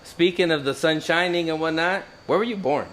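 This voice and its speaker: A man hosting a casual podcast, talking in a hyped, slangy, animated way and tossing in Spanish phrases. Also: on the high side, brisk, a little hiss or hum. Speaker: podcast host.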